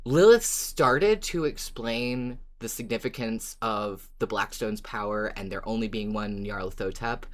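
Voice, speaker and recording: Deep-voiced woman, enthusiastic podcast host. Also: unhurried, few pauses. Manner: enthusiastic, emphatic, swears casually. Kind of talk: casual fan discussion of a fiction podcast.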